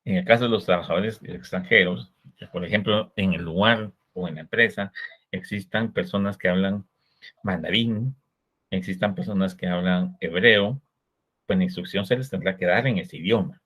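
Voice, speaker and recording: A Guatemalan man with a Guatemalan Spanish accent giving a recorded law lecture, explaining in a measured way and posing rhetorical questions to his students. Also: deep voice, a bit bright. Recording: dull lo-fi recording.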